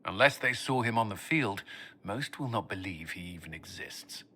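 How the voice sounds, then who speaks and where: A dry army commander. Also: male voice, fairly low pitch, lively intonation, normal speed, pushed and bright, husky, exaggerated voice. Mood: steady. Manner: firm, dry, matter-of-fact. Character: dry male army commander, steady and direct. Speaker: military commander in a fantasy game. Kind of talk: video game dialogue acting.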